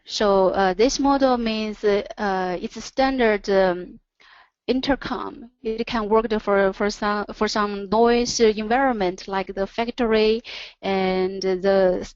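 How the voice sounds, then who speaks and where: A woman who speaks English as a second language presents a product in a webinar, haltingly, pausing between short phrases. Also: mid-range voice, a little mellow, clean tone, no echo. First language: not English. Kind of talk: product presentation over slides. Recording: dull, lo-fi online audio.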